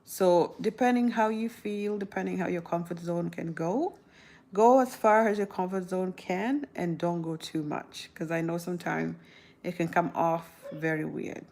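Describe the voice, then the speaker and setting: Woman, 30s, from South Sudan, chatty vlog Q&A delivery; low, gravelly tone. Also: moderate pace, darkish tone. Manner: chatty, laughs often, rambling restarts. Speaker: vlogger answering viewer questions. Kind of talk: casual talk to camera.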